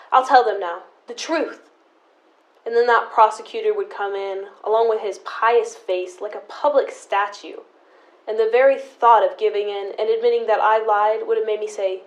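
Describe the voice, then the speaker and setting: A young woman acts as a stubborn, protective sister in a radio play. Her fairly high voice is deliberate and dramatic, carrying long-held resentment. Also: lightly acted, poor audio. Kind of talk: high school audio drama acting.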